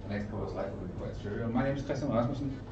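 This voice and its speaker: A middle-aged man from Denmark with a Danish accent, giving an informal conference presentation in short, halting, explanatory phrases. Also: medium pitch. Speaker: trainer and consultant giving a presentation. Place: indoor event room with an audience. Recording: roomy, distant mic, narrow muffled sound, noisy.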